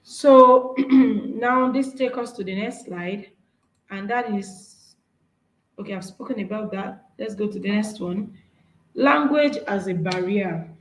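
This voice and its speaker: A woman's voice: mid-range voice, takes it slowly, echoey room, rough recording. A woman with a Nigerian accent, whose first language is Yoruba, giving an earnest, encouraging workshop talk with slides, often restarting her phrases. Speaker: speaker.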